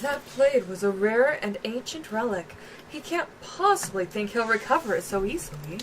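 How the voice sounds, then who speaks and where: Stern fantasy-game accuser, voiced by a woman, formal and scornful, animated, with some background noise. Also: fairly high pitch, moderate pace, natural voice, rough recording. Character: stern, indignant accuser scolding a dishonest braggart.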